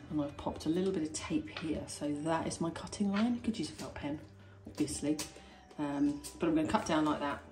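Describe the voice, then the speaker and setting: Chatty woman doing home DIY. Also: low-pitched. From United Kingdom. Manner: chatty, thinking aloud while working. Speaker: DIY home renovation vlogger. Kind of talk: casual hands-on DIY tutorial. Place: indoor hallway and staircase at home. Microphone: handheld phone or camera filming her hands.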